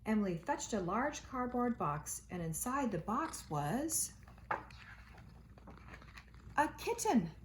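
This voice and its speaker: A woman teacher reading a children's storybook aloud, with expressive delivery and dramatic emphasis. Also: fairly high pitch, slow, pauses often, a little hiss or hum.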